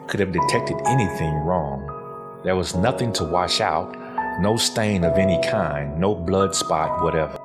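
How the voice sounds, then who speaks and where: A male actor voices a mad, gleeful killer telling a gothic horror tale. His low voice swings widely in pitch as he leans hard on words and repeats them obsessively. Music plays behind him. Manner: theatrical, emphatic, repeating words. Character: mad, obsessive murderer confessing, paranoid and gleeful. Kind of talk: dramatic gothic horror narration.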